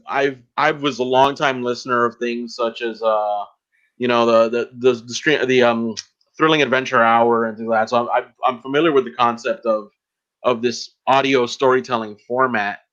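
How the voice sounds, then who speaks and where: Guy podcast host, average pitch, rambly and stop-start, muffled online call audio. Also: fairly even intonation, a bit bright. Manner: halting, rambling, filler-heavy. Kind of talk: casual podcast interview.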